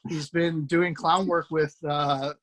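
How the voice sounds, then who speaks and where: A man's voice: on the high side, level tone, takes it slowly, fairly bright tone. A man hosting over a video call, casually introducing a cast with effusive praise, loose and full of fillers. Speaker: host introducing a table-read cast.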